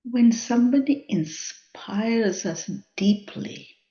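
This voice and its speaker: Slow, mellow woman guiding a meditation. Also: on the high side. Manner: measured, contemplative, with long pauses. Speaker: meditation guide. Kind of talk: guided meditation, spiritual contemplation. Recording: dull, muffled lo-fi sound.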